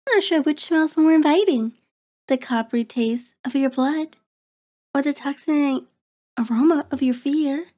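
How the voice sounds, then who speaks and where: A woman's voice: sits high, measured pace, mellow. A woman playing a cruel, predatory vampire in an ASMR horror roleplay, taunting and haughty, savoring words in formal diction. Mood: mocking, haughty. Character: cruel, predatory female vampire toying with her captive.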